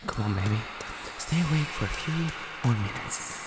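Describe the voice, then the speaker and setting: A man playing a sweet, protective young boyfriend in an intimate audio roleplay, talking haltingly and reassuringly straight to his partner. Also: lowish voice, lively intonation, over loud music, close to an everyday voice. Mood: frightened, urgent.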